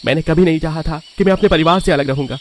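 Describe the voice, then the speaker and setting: A man's voice: a little high, quick pace, a little hiss or hum, pitched above the performer's own voice, close to an everyday voice. A man voicing a frustrated young husband in a cartoon family drama. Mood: weary, pleading. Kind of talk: acted cartoon story dialogue.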